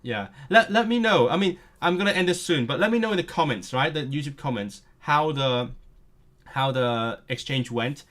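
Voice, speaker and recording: A man's voice: on the high side, animated, normal speed, a bit bright. A man whose first language is Cantonese, chatting casually to viewers on a live stream, nerdy and eager, often tripping over words and starting them again.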